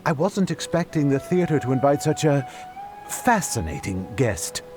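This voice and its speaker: An actor playing an earnest, helpful, slightly defensive young porter in a video game. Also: male voice, on the high side, big pitch swings, normal speed, over loud music.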